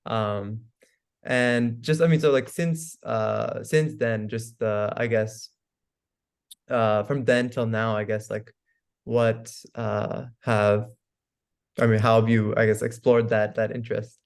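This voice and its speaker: A man's voice: lowish voice, steady tone, takes it slowly, creaky. A young man hosting a podcast interview, asking questions hesitantly with many fillers. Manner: hesitant, full of fillers like "like" and "I guess". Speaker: podcast host. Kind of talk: casual interview.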